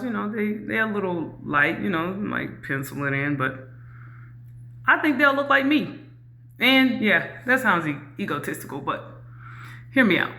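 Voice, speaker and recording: Woman with a very low, sharp voice. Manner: candid and reflective, with many "um"s and "you know"s. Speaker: YouTuber. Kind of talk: casual talk to camera.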